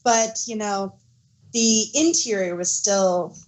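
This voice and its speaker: A woman's voice: mid-range voice, slow, a little mellow, a little hiss or hum, low-quality recording. A woman co-hosting a casual fan discussion, explaining things in a hesitant way and often correcting herself mid-thought. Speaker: podcast co-host.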